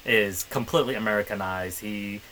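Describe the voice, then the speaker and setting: A man's voice: on the low side, a little fast, pushed and bright, slight background noise. A man who grew up in the Middle East, co-hosting a podcast and reflecting in casual conversation. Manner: reflective, hedging with "I think". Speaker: podcast co-host.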